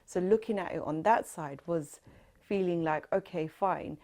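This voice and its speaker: Woman, 30s, London accent, low voice, earnest interviewee in an oral history interview. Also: a little slow, warm tone. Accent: London English. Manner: reflective, earnest, with many fillers.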